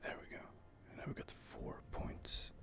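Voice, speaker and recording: A man whispering soft, hesitant commentary while playing a fantasy game, close to the microphone. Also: moderate pace. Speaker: gameplay streamer. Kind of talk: whispered ASMR gameplay commentary.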